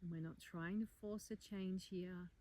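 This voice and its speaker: A woman teaches a guided meditation in a slightly low, very mellow voice. She is calm and gentle, inviting the listener along. Her phrases are measured and leave room for pauses. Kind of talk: guided meditation, soft slow instruction.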